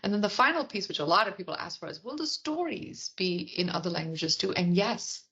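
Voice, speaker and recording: A woman from India with a softened Indian English accent, a guest on a podcast interview, explaining at length and acting out quotes with animation. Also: mid-range voice, brisk, lo-fi sound. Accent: Indian English, softened toward American. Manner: animated storyteller, acts out quotes. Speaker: product leader guest.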